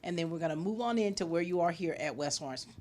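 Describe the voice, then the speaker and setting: A woman's voice: on the low side, lively intonation, fast, a little mellow. A woman hosting a local TV interview, welcoming her guest and nodding along with frequent mm-hmms. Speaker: host.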